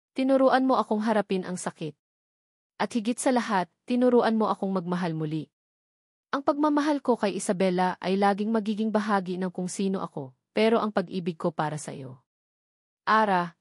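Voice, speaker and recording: A woman voicing a devoted grown man proposing tenderly, read in an even, measured way for an audio drama. Her voice sits at a middling pitch. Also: dry recording, clean studio sound, natural voice.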